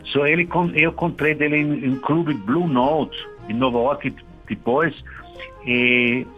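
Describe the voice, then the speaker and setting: A man who is not a native speaker, a guest musician in a radio interview, talking haltingly and searching for words. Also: medium pitch, fairly even intonation, faint music behind. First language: not Portuguese. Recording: dull radio broadcast sound.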